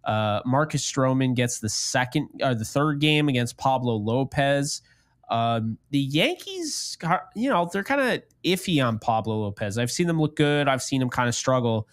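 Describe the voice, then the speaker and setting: A man's voice: medium pitch, lively intonation, moderate pace, no echo, clean studio sound. A man in his late twenties chatting casually as co-host of a sports talk show. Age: late 20s.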